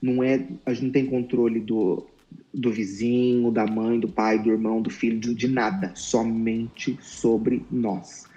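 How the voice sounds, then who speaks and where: Guy in his 30s talking earnestly, mellow voice, stops and restarts a lot, roomy echo. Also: mid-range voice, flat delivery, highs cut off. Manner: earnest, reflective, halting restarts. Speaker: guest, former client. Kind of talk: candid interview talk.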